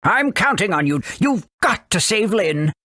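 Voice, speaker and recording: A man's voice: high voice, sing-song, a little slow, pushed and bright, no echo, studio quality, heavily acted. A man voicing an anxious, wary talking owl for a video game, dramatic and exclaiming. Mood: anxious, pleading. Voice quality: hooting cries. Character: anxious old talking owl, wary guardian of a sick girl. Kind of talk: video game character acting.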